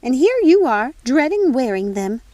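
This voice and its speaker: A prim, easily vexed fairy godmother from a storybook. The voice sits well above an ordinary speaking pitch and squeals as it chides. It is effusive and bossy, with very little room sound around it. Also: very animated, normal speed, dark tone, dry recording. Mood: scolding. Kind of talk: audiobook character voice.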